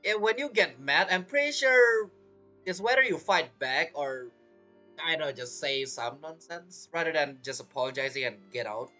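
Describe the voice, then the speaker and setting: A man's voice: on the high side, expressive, normal speed, sharp tone, soft background music. A man giving casual, rambling gameplay commentary as a streamer, reacting jokily. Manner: joking, rambling reactions to the game. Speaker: gaming streamer.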